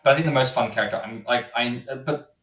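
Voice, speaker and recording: A man's voice: mid-range voice, quick pace, a bit bright. A man chatting casually as a voice actor being interviewed, rambling and opinionated. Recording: roomy echo, distant microphone.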